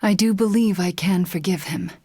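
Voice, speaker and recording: A young video game sorceress with a lowish, husky voice. She is earnest and wry, with a wounded, defiant streak. The recording is dry and close. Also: normal speed, dark tone, no echo. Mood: earnest, conversational. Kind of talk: video game character voice lines.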